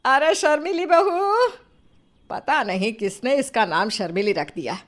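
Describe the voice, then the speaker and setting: A scolding older mother-in-law played by a woman, high in pitch. She nags and bosses her household with sarcastic exasperation, in the manner of an audio family storybook. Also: lively intonation, measured pace. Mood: exasperated. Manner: bossy, nagging, sarcastic.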